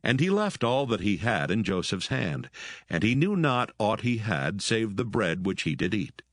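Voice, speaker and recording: A man narrating dramatised scripture in a solemn, measured manner. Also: fairly low pitch, sing-song, no echo, studio quality.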